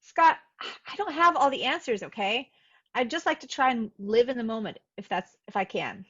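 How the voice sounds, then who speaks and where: A woman's voice: on the high side, lively intonation, normal speed, a bit bright, husky. A woman playing a guarded, cool young love interest, understated, in a table read with dull, lo-fi sound. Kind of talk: amateur screenplay table read.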